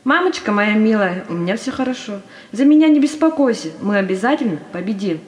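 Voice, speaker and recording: A woman's voice: mid-range voice, animated, fairly quick, lightly acted. A woman in an audio play acting a tiny, clumsy and eager young female private. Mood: tender. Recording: music under the voice.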